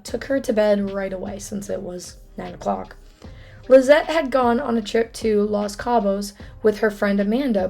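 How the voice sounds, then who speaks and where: A young woman hosts a true crime video in a hoarse, froggy voice with a gravelly edge. She talks casually and chattily, dropping apologetic asides as she goes. Faint music sits underneath. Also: medium pitch, a little mellow.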